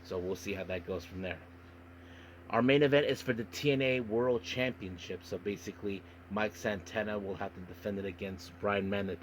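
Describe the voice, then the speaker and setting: Guy with a lowish voice doing a casual wrestling podcast, kinda stumbly on words, noisy recording. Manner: halting, stumbling over names and words. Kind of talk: casual solo podcast review and news rundown.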